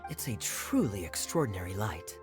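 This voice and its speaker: A man's voice: on the low side, very animated, normal speed. An actor playing a suave, perceptive young male knight in a video game. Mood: smooth, observant. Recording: game dialogue with music behind.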